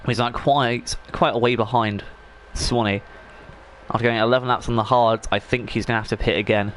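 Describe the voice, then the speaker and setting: A man's voice: medium pitch, steady tone, brisk, fairly bright tone, a little hiss or hum. A man commentating a sim racing league race in a chatty, rambling way, while he is losing his voice. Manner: chatty, rambling, frequent fillers and restarts.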